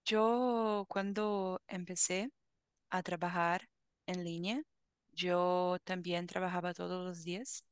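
Young woman, language teacher, headset video call, smooth voice, dry close sound. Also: fairly low pitch, fairly even intonation, no room sound. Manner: slow, careful, encouraging teacher talk. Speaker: Spanish teacher. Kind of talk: online language lesson chat.

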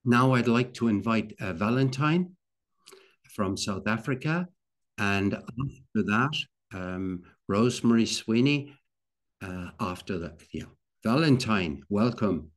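Older male meeting host, unhurried and halting, lower-pitched voice, video call sound. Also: lively intonation. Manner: halting, repeats phrases. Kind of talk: hosting an online club meeting.

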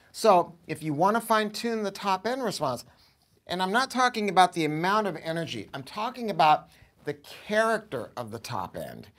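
An American man in his sixties giving an enthusiastic, informal product review to camera, with an emphatic salesman's delivery. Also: high voice. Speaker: audio store owner presenting a product review. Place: showroom, indoors.

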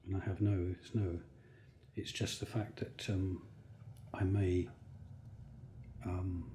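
Low, mellow man, hesitant and reflective. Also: flat delivery, slow, reverberant, slight background noise. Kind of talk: reflective oral-history testimony, edited into a sound piece.

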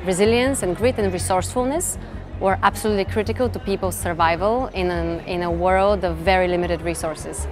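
A woman in her thirties from Bulgaria giving measured, reflective interview answers with some fillers and restarts. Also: lowish voice. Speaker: interviewee, tech executive. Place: event interview set, indoors. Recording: music bed behind voice.

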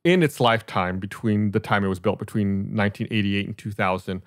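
A man's voice: fairly low pitch, a little fast, no room sound, studio quality. An American man hosting a podcast, reading out and explaining a report in a matter-of-fact way. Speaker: podcast host.

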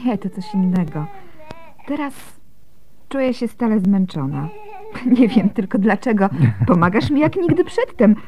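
An actress playing a devout, gentle young Byzantine wife and mother in a radio drama, speaking softly and earnestly. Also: medium pitch, big pitch swings, measured pace, lo-fi sound. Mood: tired, tender.

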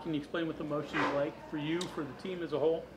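A man with a somewhat high voice asks questions as a reporter at a press conference. The sound is roomy, with clear background noise from the room. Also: flat delivery, rapid-fire.

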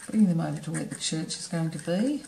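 A woman teaching painting, talking in a relaxed way as she paints and explaining each step. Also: low voice, quick pace, gravelly, reverberant, slight background noise. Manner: relaxed, thinking aloud while painting. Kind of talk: painting demonstration narration. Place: studio table, camera overhead.

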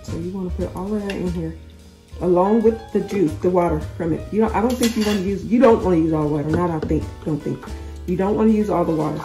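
A woman's voice: lowish voice, fast, mellow, soft background music. A woman hosting a casual cooking tutorial from her home kitchen, chatting straight to viewers and correcting herself as she goes. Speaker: cooking channel host.

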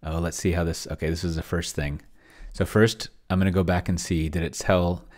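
A middle-aged man giving casual think-aloud screencast commentary, halting and muttering as he types. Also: low-pitched, little pitch movement, darkish tone. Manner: halting, mutters while typing. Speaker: host of a solo roleplaying experiment vlog. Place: small indoor room with bookshelves.

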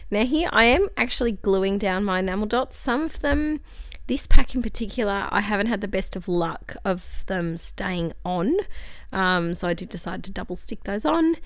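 A young Australian woman with a hoarse, croaky voice, chatting casually over her scrapbooking video with lots of fillers. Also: mid-range voice, expressive, moderate pace, no echo, studio quality. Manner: chatty, friendly, tangents and fillers. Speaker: scrapbooking channel host. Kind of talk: voice-over for a craft process video. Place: home craft desk.

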